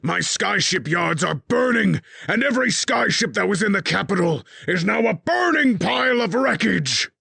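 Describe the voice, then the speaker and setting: A man voicing a furious male king who rants and yells at his spymaster. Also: high-pitched, lively intonation, moderate pace, gravelly, few pauses, slightly rough, no echo, pitched above the performer's own voice, full character voice. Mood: enraged. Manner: ranting, yelling.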